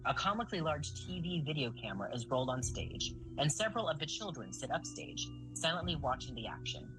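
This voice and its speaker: A woman's voice: low-pitched, very animated, brisk, poor audio. A woman reading scripted stage-direction narration for an audio drama. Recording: music bed behind the voice.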